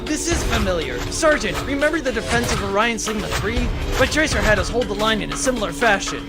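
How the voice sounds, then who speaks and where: Man playing a high-voiced earnest commander. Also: animated, brisk, over loud music. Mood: focused. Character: earnest, tactical young military commander, former pupil. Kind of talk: amateur sci-fi audio drama acting.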